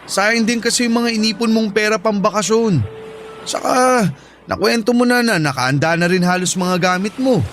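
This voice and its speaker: A man's voice: sits high, moderate pace, a bit bright, a little hiss or hum. A man playing a caring, easygoing Filipino shipmate and buddy in an audio drama, encouraging in manner. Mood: concerned.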